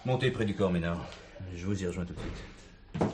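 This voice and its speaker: A man's voice: low voice, moderate pace, heavy background noise, natural voice. A man playing an authoritative, methodical police chief in a French detective stage comedy. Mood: measured authority.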